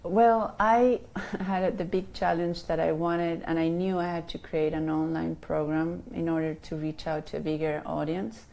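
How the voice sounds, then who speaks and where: Chilean woman in her fifties, life coach, dark mellow voice, hesitant interviewee. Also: sits low, level tone, unhurried, gravelly, hardly any pauses. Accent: Chilean Spanish. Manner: hesitant, many ums and restarts. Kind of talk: interview answers.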